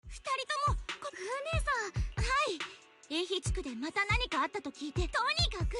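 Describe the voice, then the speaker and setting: A sweet young anime game heroine with an extremely high voice. She is earnest and gentle, speaking quickly over prominent music. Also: big pitch swings. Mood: polite, concerned. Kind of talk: Japanese game voice acting.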